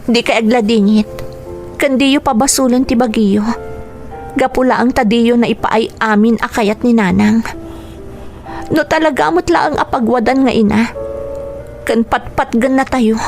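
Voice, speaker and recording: A woman's voice: a little high, measured pace, long pauses, a little mellow, soft background music. A woman acting a bitter, resolute young eldest daughter in a Filipino radio drama, emotional and earnest, with a Filipino accent. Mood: bitter, resentful.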